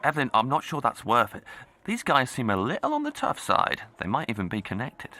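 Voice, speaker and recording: A man's voice: medium pitch, huge pitch swings, fairly quick, pushed and bright, heavily acted. A man playing an earnest, awkward amateur comic, chatty and a little defensive. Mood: uneasy. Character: earnest, awkward male ex-cop stand-up comic.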